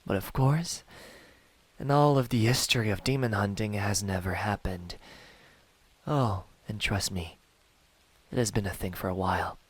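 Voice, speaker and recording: A man playing a smug, menacing young demon hunter in an ASMR roleplay, taunting softly and deliberately, often close to a whisper. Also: fairly low pitch, breathy edge, no room sound. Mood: scornful. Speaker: amateur voice actor.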